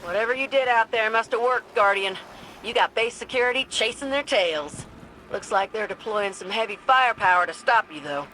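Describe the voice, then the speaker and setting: A woman's voice: fairly high pitch, moderate pace, pushed and bright, a little hiss or hum, low-quality recording. An actress playing a plucky, informal young woman pilot with a Southern American accent in a cinematic video game. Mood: wry, alert. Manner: folksy, informal. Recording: music bed behind voice. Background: music.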